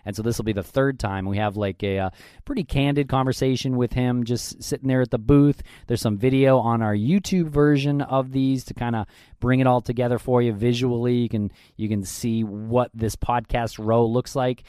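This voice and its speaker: A man's voice: mid-range voice, steady tone, normal speed, warm tone, clear, resonant tone, no room sound, studio quality. A man introducing a podcast episode and promoting an event in an upbeat manner. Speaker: podcast host.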